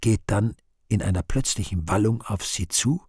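A man's voice: lowish voice, moderate pace, darkish tone, husky, dry recording, studio quality. A man reading scripted stage directions as a radio play narrator.

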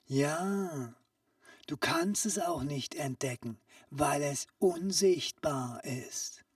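A woman playing a sly, secretive street peddler in a comic audio play, coaxing in a hushed, conspiratorial way that often drops into whispers. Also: low-pitched, extremely slow, no echo, studio quality, recorded from a distance, heavily acted.

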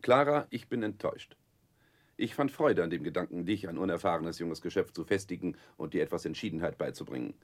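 Cold, cruel stepfather for period radio play: low voice, highly animated delivery, commanding. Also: fairly quick. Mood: stern, reproachful. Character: stern, cruel, domineering stepfather. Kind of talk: German radio drama acting, 1960s.

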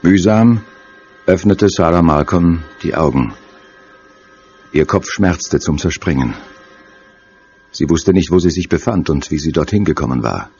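A man narrating a scripted horror story in a measured, ominous manner. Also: low voice, animated, darkish tone, slight background noise. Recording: dull audio play sound over music. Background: music.

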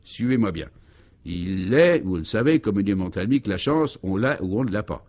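A man's voice: lowish voice, expressive, normal speed, a little mellow, natural voice. A man acting out an assured, sententious driver in a comic radio serial, explaining absurdities matter-of-factly.

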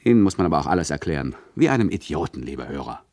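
An actor playing an ironic, mock-polite and condescending radio play narrator who addresses the listeners. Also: male voice, very deep voice, sing-song, fast, a little mellow, dry recording, studio quality, full character voice. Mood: condescending irony.

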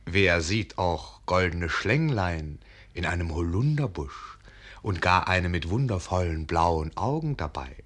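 A knowing narrator of a radio fairy tale. His voice is a little low and very animated, with a theatrical, ironic storytelling delivery. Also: unhurried, slightly rough, heavily acted. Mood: wry storytelling. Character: wry, genial male fairy-tale narrator.

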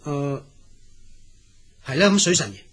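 A man's voice: on the high side, big pitch swings, a little slow, fairly bright tone, soft background music, full character voice. A man with a Cantonese accent playing an exiled dragon spirit in an audio drama, earnest, humble and principled, with hesitant, deferential yet firm delivery. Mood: respectful, puzzled.

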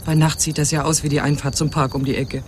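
An actress playing a watchful, mildly sceptical wife on a hot night in naturalistic radio drama dialogue. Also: female voice, sits low, little pitch movement, fast, a little hiss or hum, close to an everyday voice. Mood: puzzled, sceptical. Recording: clear cricket and night ambience. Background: chirping insects at night.

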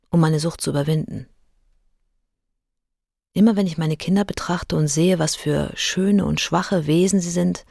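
An actress playing a fearful, burdened mother addicted to morphine, reading her diary in a measured, introspective radio drama monologue. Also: lowish voice, gravelly, a little mellow, husky, no echo, studio quality, heavily acted.

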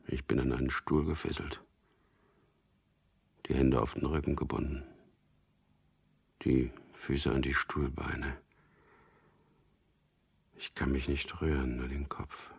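An actor playing a dazed, fearful prisoner thinking aloud in a radio drama, halting and hushed, with a husky, gravelly voice. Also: male voice, extremely low pitch, level tone, heavily acted. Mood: dazed, numb. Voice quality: husky, gravelly, half-whispered. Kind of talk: radio drama inner monologue.

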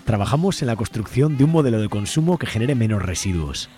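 A man's voice: fairly low pitch, lively intonation, fast talker, dark tone. A man with an accent from Spain reading scripted narration as co-host of a radio show. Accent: Spain Spanish. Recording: music bed behind the voice. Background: music.

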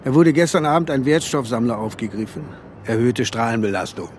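A man acting an older, gruff police inspector close to retirement in a German radio drama, with a wry, commanding, colloquial manner. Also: medium pitch, lively intonation, fairly quick, a little hiss or hum, close to an everyday voice. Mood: urgent, pressing.

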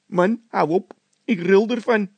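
A man's voice: high-pitched, measured pace, breathy edge, dry recording, pitched above the performer's own voice, full character voice. A man voicing a nervous, grumbling labourer who is scared of ghosts, with a whining, worried way of talking. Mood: frightened.

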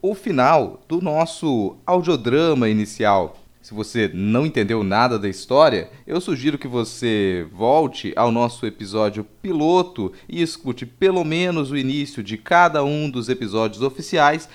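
A man's voice: medium pitch, expressive, moderate pace, few pauses. Brazilian man hosting a casual podcast. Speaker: podcast host.

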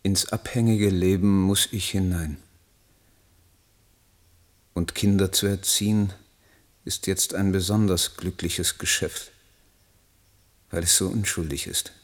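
An actor playing an idealistic, embittered young poet in a literary radio play, declaiming solemnly and rhetorically. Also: male voice, sits low, steady tone, takes it slowly, pauses often, exaggerated voice. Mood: melancholy resolve. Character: idealistic, embittered young male German poet, brooding and impassioned.

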